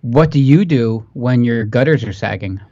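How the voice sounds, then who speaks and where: A man's voice: mid-range voice, normal speed, warm tone, no room sound, highs cut off. A man with a nasal voice, bantering casually as a podcast co-host.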